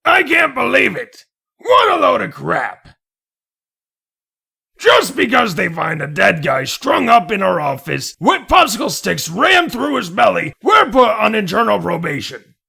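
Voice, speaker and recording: A man plays an irate, blustering city detective in a comic dub. His raised, forceful voice is harsh and cracked, leaping wildly in pitch. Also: wildly swooping pitch, measured pace, full character voice. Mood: furious. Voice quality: strained, raspy, harsh. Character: hot-tempered, foul-mouthed city police detective.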